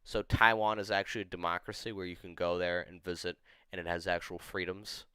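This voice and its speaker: A young man with a smooth, low-leaning voice talks casually and rambles, packing his sentences with fillers. He states strong opinions bluntly. The sound is dry and close, with no room around it. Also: little pitch movement, fairly quick, pushed and bright, dry recording. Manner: rambling, opinionated, heavy use of "like". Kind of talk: casual chat.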